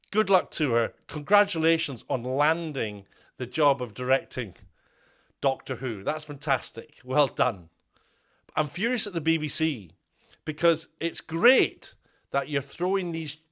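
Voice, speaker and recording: A man's voice: a little high, fairly bright tone. A man delivering a ranting commentary video, indignant and full of restarts and repeated words. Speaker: media commentator.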